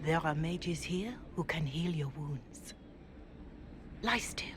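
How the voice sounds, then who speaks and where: An actress playing a wise, gently firm priest in a fantasy game, speaking in a measured, solemn way. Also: female voice, low voice, lively intonation, background noise. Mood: soothing. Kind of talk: dramatic fantasy game dialogue.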